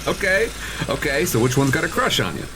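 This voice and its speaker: Brief: wisecracking builder, higher voice, lively. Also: male voice, a little fast, background noise. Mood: teasing. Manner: teasing, sarcastic. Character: wisecracking construction worker, married twice. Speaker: construction worker. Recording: music under dialogue.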